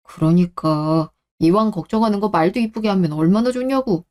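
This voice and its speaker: A woman's voice: medium pitch, normal speed, darkish tone, lightly acted. A woman playing a protective young husband, earnest and firm, in a radio drama. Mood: protective, reassuring. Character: protective young husband, caring but exasperated with his mother. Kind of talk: Korean radio drama acting.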